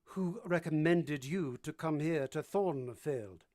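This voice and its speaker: An actor playing an imperious, blunt middle-aged English master of the house in a vintage-style radio drama. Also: male voice, on the high side, slow pace, a little mellow. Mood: stern, probing.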